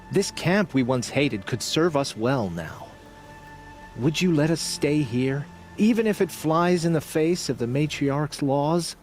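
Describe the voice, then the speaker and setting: An actor playing a humble, remorseful outcast tribesman in a video game, speaking in an earnest, halting, solemn way. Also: a little high, a little mellow. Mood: hopeful, grateful. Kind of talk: video game character acting.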